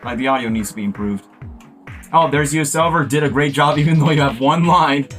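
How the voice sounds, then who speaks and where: A man's voice: on the high side, fairly quick, a bit bright, soft background music. A man hosting a reaction video, talking casually and enthusiastically as he comments.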